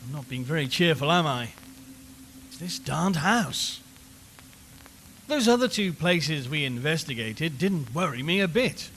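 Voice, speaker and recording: A man's voice: a little high, animated, measured pace, pauses often, fairly bright tone. A man playing a nervous, wryly chatty radio reporter giving a live commentary from a haunted house in an audio drama. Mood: rattled, wry. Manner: chatty, wry, increasingly uneasy. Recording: long reverberant hall, background noise.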